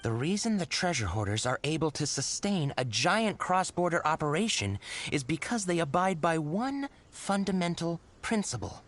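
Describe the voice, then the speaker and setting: A woman voicing a cagey, teasing underworld informant in an anime video game, coy and deliberate. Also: low-pitched, very animated. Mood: knowing, cautious.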